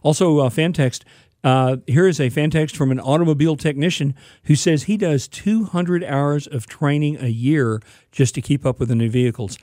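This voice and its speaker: A man hosting a casual radio show about cars, explaining news in a relaxed, informative way with filler uhs. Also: fairly high pitch, normal speed, no room sound, clean studio sound. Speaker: radio show host, car expert.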